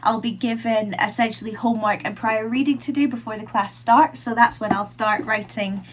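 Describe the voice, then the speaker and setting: Young woman, slightly high voice, congested nose, casual rambling vlog talk, faint background hum. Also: steady tone, moderate pace. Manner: chatty, lots of "like" and "um". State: stuffy nose from a cold. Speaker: vlogger and university student. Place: her room at home.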